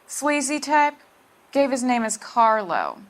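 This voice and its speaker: A woman's voice: high voice, sing-song, slow, full character voice. A woman playing a plain-spoken, nosy apartment landlady in a 1940s crime game, matter-of-fact and gossipy. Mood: helpful, suspicious. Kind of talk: video game acting, period crime drama. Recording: music behind the voice.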